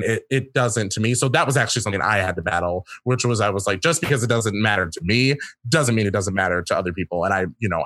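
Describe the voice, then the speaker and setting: A man speaking as a guest in a casual discussion, earnest and reflective, often pausing to rephrase. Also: lowish voice, fairly bright tone, no room sound.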